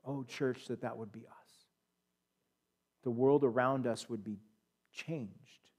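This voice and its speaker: A man in his thirties with an American accent, preaching a sermon in a church sanctuary on a headset mic, swinging from loud emphatic peaks to quiet asides. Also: mid-range voice, fairly even intonation, slow. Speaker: pastor. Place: church sanctuary, standing at a pulpit.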